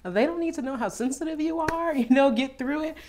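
A woman's voice: high voice, brisk, darkish tone, slight background noise. A woman, an actress, casually recounting memories in an interview and acting out her own thoughts as she goes.

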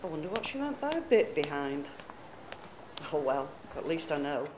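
A woman's voice: low-pitched, very animated, moderate pace. A woman playing an anxious, grumbling blind hospital patient in a naturalistic audio drama, often muttering her worries to herself. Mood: fretful. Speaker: actor in an amateur audio drama. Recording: noisy, echoey room with ambience.

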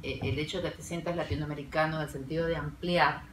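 A woman moderating a university panel, asking measured questions with some fillers. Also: sits low, steady tone. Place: university lecture room, seated at panel table. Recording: roomy, distant, faint hiss.

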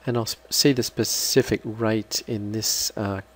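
A man giving an unscripted, halting step-by-step software walkthrough as a trainer. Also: on the low side, fairly even intonation. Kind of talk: screen-recorded software walkthrough.

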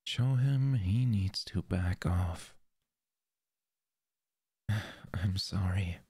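A man playing a possessive, jealous lover in an audio roleplay. He speaks softly and intimately into a close microphone, often in a whisper. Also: sits low, creaky, husky, no echo, full character voice. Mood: apologetic.

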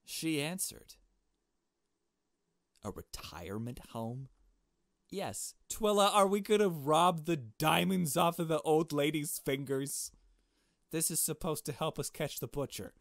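A man with a lowish voice reads a visual novel aloud in a casual let's play style. He mixes the narration with informal, joking asides. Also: dry recording. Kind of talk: reading game text aloud with casual commentary.

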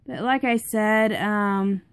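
A woman's voice: medium pitch, fairly even intonation, takes it slowly, smooth. A young woman reviewing a product at home, chatty and rambling with lots of fillers, her voice stuffed up from congestion.

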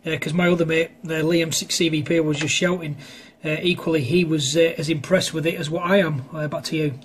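A man's voice: fairly high pitch, steady tone, brisk, slight background noise. A man chatting casually on amateur radio, rambling and hesitant as he goes. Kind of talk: casual two-way radio chat. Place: indoors at a home radio station.

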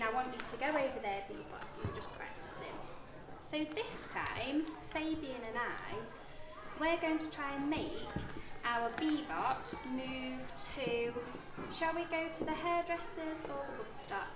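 High-pitched woman teaching young children. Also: lively intonation, a little slow. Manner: encouraging, praising. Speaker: primary school teacher. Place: primary school classroom, indoors. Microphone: distant camera microphone. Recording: echoey, noisy classroom with children.

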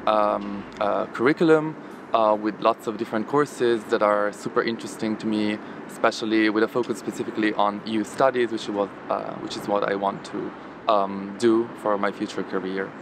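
Student from Italy, 20s, measured on-camera interview, outdoor campus noise. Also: male voice, lowish voice. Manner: fluent, measured, frequent ums. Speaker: master's student.

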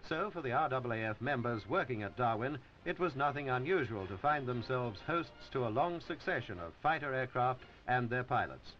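A man's voice: medium pitch, lively intonation, slight background noise. Dull-sounding vintage newsreel man. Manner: formal, measured announcer delivery. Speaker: newsreel narrator. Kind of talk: 1950s newsreel scripted narration.

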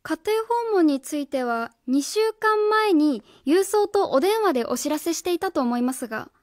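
A woman's voice: sits high, expressive, normal speed, no room sound, studio quality. An actress playing an earnest young female English teacher in a radio drama, speaking politely and formally. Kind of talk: Japanese radio drama acting, dialogue and inner monologue.

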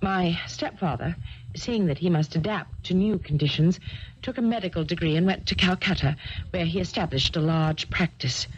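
A woman acting in a 1970s radio drama, playing a distressed, earnest young English heiress with formal, halting phrasing. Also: fairly low pitch, natural voice. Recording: dull noisy old radio recording.

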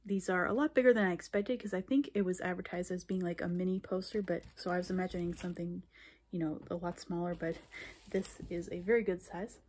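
A woman's voice: fairly low pitch, steady tone, a little fast, darkish tone, breathy edge. A young woman in her twenties chatting in a casual vlog recap, full of fillers and restarts, recorded on a handheld phone in a small bedroom. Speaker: vlogger. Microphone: phone camera held close.

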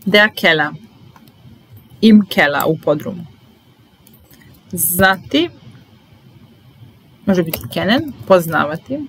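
A young woman teaching German vocabulary in a slow, deliberate drill, saying each word and repeating it calmly. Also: lowish voice, breathy edge. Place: small indoor room at a desk.

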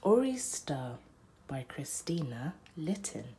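A young woman narrating a children's bedtime picture book aloud, with deliberate, dramatic phrasing. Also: deep voice, big pitch swings, mellow, slight background noise.

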